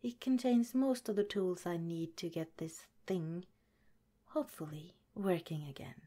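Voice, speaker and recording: A gentle, caring head scientist in charge of a secret underground lab, as a woman plays her for an ASMR roleplay. She speaks in a soft, unhurried whisper, taking her time over every word. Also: on the low side.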